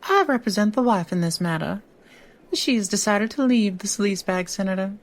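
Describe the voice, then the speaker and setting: An actress playing a shrewd, teasing woman lawyer in an audio drama, talking in an unhurried, theatrical way. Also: mid-range voice, expressive, natural voice. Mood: smooth persuasion. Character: sly, silky woman lawyer, old friend, teasing and shrewd.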